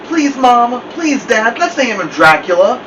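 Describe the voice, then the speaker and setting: An excitable, insistent young storybook boy, played by a man, pitched well up and very animated. The recording is a bit distant and noisy. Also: unhurried, exaggerated voice. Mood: pleading. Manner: pleading, insistent. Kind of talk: children's storybook character reading.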